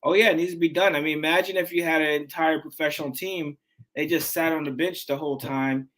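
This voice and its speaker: Lively man in his forties, fitness trainer. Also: on the high side, brisk. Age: 40s. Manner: animated, restarts often, says man and dude. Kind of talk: casual interview chat.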